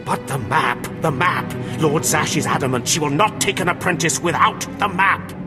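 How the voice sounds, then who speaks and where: A man playing a stern, contemptuous Sith overseer in a video game, gravelly, commanding and sneering. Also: fairly high pitch, moderate pace, music in the background, close to an everyday voice. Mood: agitated.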